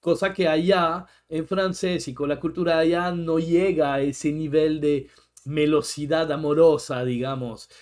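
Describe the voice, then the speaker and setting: Guy, highish voice, thoughtful podcast co-host, restarts here and there. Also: fairly even intonation, unhurried, a little mellow. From French-speaking country. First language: French. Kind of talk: reflective conversation.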